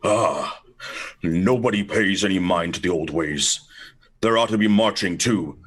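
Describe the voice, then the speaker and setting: A man playing a halting, complaining man in his seventies who clings to old traditions in a radio play. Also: deep voice, a bit bright, pitched below the performer's own voice. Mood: grumbling, nostalgic. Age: 70s.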